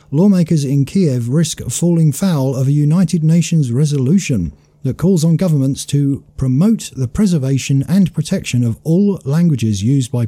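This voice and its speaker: A man hosting a talk show, reading a news report aloud in a measured way before commenting. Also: on the high side, dark tone, no room sound. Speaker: host.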